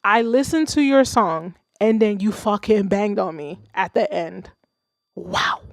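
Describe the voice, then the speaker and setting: A woman's voice: medium pitch, measured pace, vocal fry, no echo, clean studio sound. A young woman with a Haitian accent, hosting a livestream and giving casual, rambling feedback on submitted tracks, swearing freely and laughing often. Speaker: streamer reviewing submitted music.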